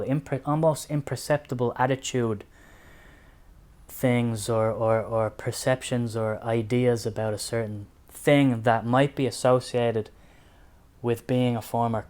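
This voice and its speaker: A man's voice: medium pitch, a little mellow. A man with an Irish accent giving a casual book review to camera, speaking in an earnest, reflective and personal way.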